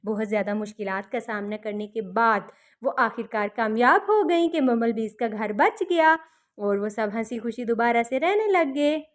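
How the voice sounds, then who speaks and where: A woman's voice: a little high, expressive, moderate pace. A woman narrating a fairy tale for children, explaining things simply and repeating them as to small children. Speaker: children's storyteller.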